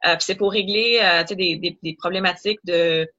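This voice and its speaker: A woman's voice: lowish voice, steady tone, brisk, sharp tone, dull audio. A young woman from Ottawa, a doctoral student guest in an interview, explaining at length with many fillers. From Ottawa, Canada.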